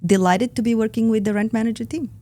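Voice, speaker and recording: A woman with a Bulgarian accent, a podcast guest talking in an earnest way with frequent pauses in a studio. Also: on the high side, steady tone, dark tone, no room sound. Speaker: operations lead, guest. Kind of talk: podcast conversation. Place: recording studio.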